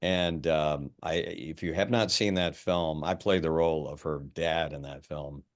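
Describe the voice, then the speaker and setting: A man with a low voice talks in a loose, rambling way on a video call. He sounds like a middle-aged actor sharing thoughts with his peers, with a wry edge. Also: fairly even intonation, measured pace. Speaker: actor in a table read discussion. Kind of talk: casual group discussion.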